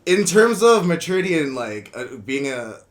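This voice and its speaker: A man in his twenties from Indonesia, a guest on a podcast, telling his story in a relaxed, rambling way with lots of "you know what I mean.". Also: a little high, normal speed. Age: 20s. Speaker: guest, brand co-founder. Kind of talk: casual podcast interview. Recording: echoey room.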